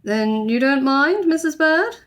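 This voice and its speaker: A woman's voice: high voice, expressive, unhurried, voice pushed up. Woman as a hesitant, cautious storybook father. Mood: tentative. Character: cautious, easily beaten middle-aged father of the family.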